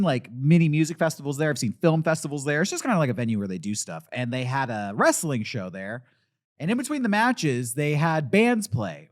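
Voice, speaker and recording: A man co-hosting a podcast, telling animated stories in casual chat, breaking into loud impressions and falsetto. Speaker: podcast co-host.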